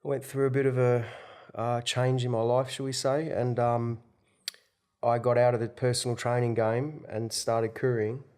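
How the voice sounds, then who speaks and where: A man's voice: mid-range voice, little pitch movement, normal speed, warm tone, smooth. A middle-aged man who grew up in Tasmania, a podcast guest telling his story in an open, chatty way. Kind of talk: casual podcast chat.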